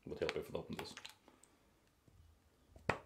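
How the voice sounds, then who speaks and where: A man's voice: a little slow, noisy background. A man from Austria with a Viennese accent tinged with Scottish, hosting an ASMR eating video and chatting casually between bites. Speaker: mukbang host.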